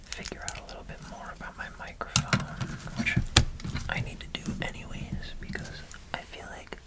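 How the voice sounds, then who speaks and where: A man whispering casual, rambling ASMR chatter with many fillers while building bricks, his voice slightly nasal. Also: moderate pace. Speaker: ASMR creator.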